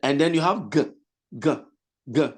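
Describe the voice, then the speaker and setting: Male pastor-lecturer, high register, slow, deliberate delivery; a bit under the weather with a cold; online class over a video call, repeats points. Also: lively intonation. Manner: explains slowly, repeats points, breaks into sung examples. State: has a cold, voice slightly lost. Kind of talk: online vocal training lecture with sung demonstrations.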